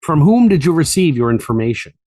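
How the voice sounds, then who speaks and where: A man acting as a nineteenth-century prosecuting attorney in a courtroom drama. He is methodical and probing, phrasing each question formally. His voice sits a little high and is very animated in its inflection. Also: fairly quick. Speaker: voice actor reading a trial transcript. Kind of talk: dramatized courtroom transcript reading, examination.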